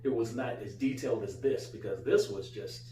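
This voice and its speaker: A man's voice: fairly low pitch, brisk, mellow, recorded from a distance, faint music behind. A middle-aged man with an American accent chatting casually as a co-host, repeating phrases for emphasis. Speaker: co-host, a father. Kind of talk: casual chat. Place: small living room, on a couch. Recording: roomy, off-mic, faint hum.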